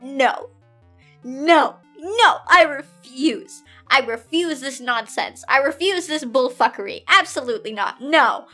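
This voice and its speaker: A woman with a very high, bright voice hosts a comedic reading show. She is theatrical and expressive, with an exasperated way of talking. Faint music plays behind her. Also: slow pace. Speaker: host of a comedic fan fiction reading show. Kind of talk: dramatic reading aloud with exasperated asides. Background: background music.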